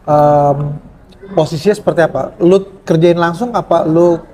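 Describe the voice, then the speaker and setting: A man's voice: fairly high pitch, unhurried, warm tone. Chatty man interviewing at a cafe. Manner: chatty, prompting with short affirmations. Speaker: food vlogger interviewing a cafe chef. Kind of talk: casual interview chat.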